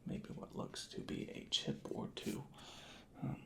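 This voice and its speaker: A hushed, breathy ASMR voice, soft-spoken and whispering in a deliberate, unhurried roleplay as an inspector. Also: a little hiss or hum. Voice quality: breathy whisper with creaky fry. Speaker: ASMR creator playing a record inspector.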